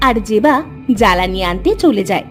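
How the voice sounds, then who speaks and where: A woman's voice: mid-range voice, animated, a little fast, soft background music. A woman narrating a scripted children's cartoon story.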